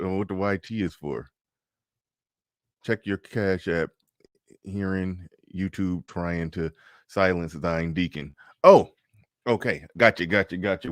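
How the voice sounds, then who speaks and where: Laid-back low-voiced man, dry close sound. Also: dry recording. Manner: laid-back, laughs often, drawls. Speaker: livestream host. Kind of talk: casual livestream chat reading comments.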